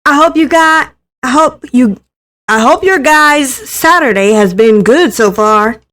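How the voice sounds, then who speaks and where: A woman's voice: sits high, lively intonation, a little slow, heavy background noise, voice pushed up. A woman voicing a friendly, caring young female livestreamer who chats sympathetically with viewers in a story reading. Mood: caring, upbeat.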